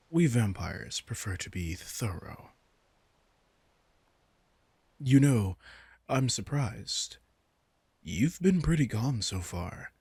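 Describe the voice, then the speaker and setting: A man's voice: medium pitch, a little mellow, husky, dry recording, heavily acted. A man playing a commanding, teasing vampire king in a roleplay audio drama, smug and casually menacing as he speaks straight to the listener.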